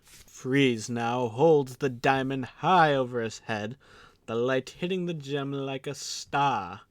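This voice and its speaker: A man's voice: medium pitch, takes it slowly, no room sound, clean studio sound. A man narrating an audio drama in a theatrical announcer style, slipping into offhand comic asides. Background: music.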